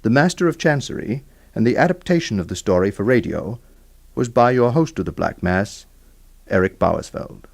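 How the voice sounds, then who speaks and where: A man with a fairly low voice hosts a radio drama programme. He reads scripted credits in a formal, measured way, like an announcer. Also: lively intonation, gravelly.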